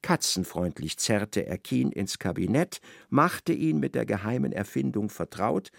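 A man narrating a literary radio play in a measured, precise storytelling style. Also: lowish voice, big pitch swings, gravelly, no room sound, studio quality.

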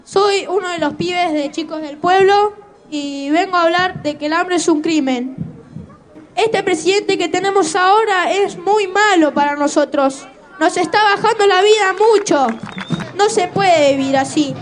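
Earnest young protester. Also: female voice, extremely high pitch.

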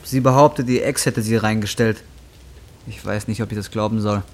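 A man's voice: on the low side, fairly even intonation, normal speed, a little hiss or hum, close to an everyday voice. A man acting a volatile, bitter, down-and-out young man in an audio drama, coarse and combative in his talk. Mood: sullen, troubled. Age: young adult. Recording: music bed behind dialogue. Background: music.

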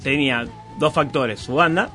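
A man with a Buenos Aires accent, a band member being interviewed, talkative and quick to laugh. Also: on the high side, sharp tone, soft background music. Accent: Buenos Aires Spanish. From Argentina. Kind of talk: casual interview chat.

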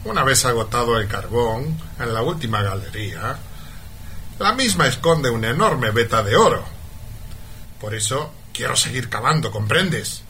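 An actor playing a cruel, greedy, scheming mine owner in a parody radio melodrama, forceful and contemptuous. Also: male voice, medium pitch, expressive, moderate pace. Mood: scheming, cold. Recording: light background noise.

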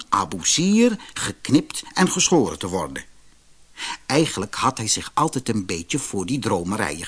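A man with a Netherlands Dutch accent reads a scripted fairy tale as an expressive audiobook narrator. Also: medium pitch, husky. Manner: expressive storytelling.

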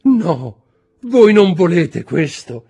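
A man's voice: lowish voice, lively intonation, slow, a little mellow, slightly rough, faint music behind, exaggerated voice. A man voicing a frightened young wife in an audiobook drama, stammering and begging in gasped, pleading exclamations. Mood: desperate begging.

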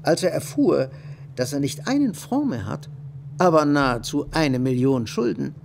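An actor in a radio play playing a practical, wealthy bourgeois husband, deliberating and insistent. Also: male voice, a little high, animated, moderate pace, creaky, noisy background. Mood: earnest, deliberating. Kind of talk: German radio play dialogue.

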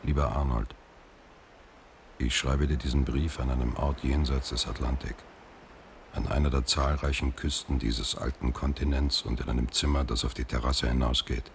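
A man acting a proud, washed-up middle-aged actor in a German radio drama, speaking in a measured, deliberate way. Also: extremely low pitch, steady tone, gravelly, slight background noise. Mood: reflective, composed.

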